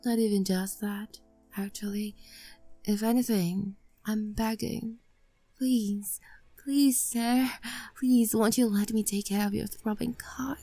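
A woman's voice: medium pitch, fairly even intonation, slow pace, gravelly, mellow, faint music behind. A young woman voicing a clingy, obsessively devoted maid in an audio roleplay, pleading and stammering. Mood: soft whispering.

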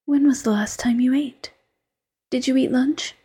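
A woman voicing a doting, teasing fox girlfriend who mothers her partner, in soft coaxing whispered roleplay. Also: high-pitched, fairly even intonation, measured pace, slightly rough, heavily acted.

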